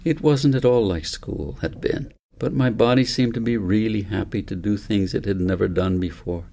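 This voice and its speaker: Storybook part: reflective big brother, pensive, low and creaky, played by a male reader. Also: moderate pace, warm tone, slight background noise. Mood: thoughtful, delighted. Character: thoughtful older brother, reflective dream traveler. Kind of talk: storybook character reading.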